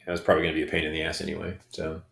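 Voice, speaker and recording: A middle-aged man with a very low, deep voice, speaking slowly and haltingly with frequent ums. He is talking personally and reflectively in a casual podcast chat. The sound carries the echo of a bare room. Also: monotone, vocal fry, fairly bright tone. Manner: hesitant, many ums and restarts. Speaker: podcast co-host. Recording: echoey room.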